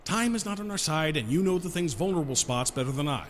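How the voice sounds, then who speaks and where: Man playing a glib, animated gentleman rogue. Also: a little high, fast talker, a little hiss or hum, close to an everyday voice. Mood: brisk, sardonic. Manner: sardonic, wordy, mock-polite. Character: glib, teasing gentleman genius saboteur, adult man. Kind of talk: audio drama acting.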